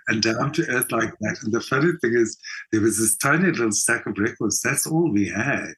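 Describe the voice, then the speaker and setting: An older man with a South African accent, telling anecdotes reflectively as an interview guest and often voicing imagined dialogue in an expressive way. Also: fairly low pitch, brisk, husky, rough recording. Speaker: interview guest, actor and singer.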